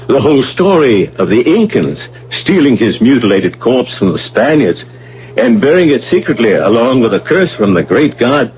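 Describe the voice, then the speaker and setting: A man's voice: medium pitch, big pitch swings, moderate pace, a little mellow, natural voice. Actor doing stuffy professor guy, authoritative, declamatory, voice goes up and down a lot, old radio with faint hiss. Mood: measured, scholarly. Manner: formal, declamatory. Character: pompous, authoritative male archaeology professor leading an expedition. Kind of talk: old-fashioned radio drama acting. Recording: dull, hissy old radio broadcast with hum.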